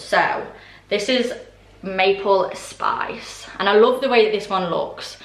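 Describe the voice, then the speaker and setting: Hoarse rambling woman, roomy echo. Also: mid-range voice, a bit bright, far from the mic. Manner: rambling, lots of ums, apologetic asides. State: voice going hoarse. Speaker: vlogger. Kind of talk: casual chatty haul vlog. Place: orangery at home, indoors.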